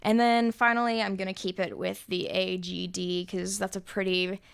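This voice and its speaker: Young woman, high voice with noticeable vocal fry, relaxed explainer as a songwriter. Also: normal speed. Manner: relaxed, explanatory, with thinking fillers. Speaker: songwriter explaining her arrangement. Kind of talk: casual music theory walkthrough.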